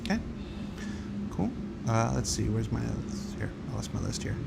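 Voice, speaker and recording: Man, average pitch, panel host, live question session, noisy recording. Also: big pitch swings, normal speed, vocal fry.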